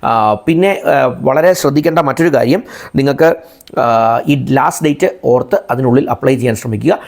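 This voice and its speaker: A man's voice: mid-range voice, moderate pace, vocal fry, darkish tone. A man from India with a Malayalam accent in his English, hosting an informational explainer for viewers and repeating and rephrasing key points. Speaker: video channel host.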